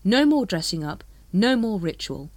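A woman's voice: mid-range voice, very animated, normal speed, no room sound. A woman voicing a scheming male secret society leader brooding with contempt. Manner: scheming, contemptuous inner thoughts.

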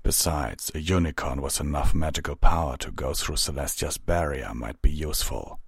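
Stern stallion commander for a storybook, voiced by a man: low, measured, authoritative, dry studio sound. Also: vocal fry, slightly rough, no echo, exaggerated voice. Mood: measured, firm. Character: stern, calm, commanding male pony soldier.

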